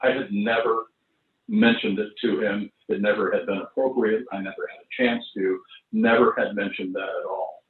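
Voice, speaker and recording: A man in his seventies or older telling personal stories casually, in an unhurried, halting, anecdotal way, over roomy, distant, thin internet audio. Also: fairly low pitch, expressive, narrow, tinny audio. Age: 70s or older. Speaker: veteran performer telling stories. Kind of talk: casual reminiscing at an online launch party.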